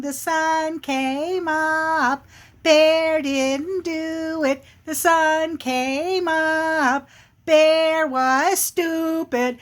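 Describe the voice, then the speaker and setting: A woman's voice: high-pitched, pitched above the performer's own voice. A woman voicing a cheeky, smart-alecky little male squirrel in an animal folktale, in a sing-song way.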